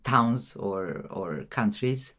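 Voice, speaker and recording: A woman's voice: extremely low pitch, animated, drawn-out words, fairly bright tone. A woman hosting a podcast interview, speaking in a friendly, hesitant way with non-native phrasing and frequent restarts. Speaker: podcast host.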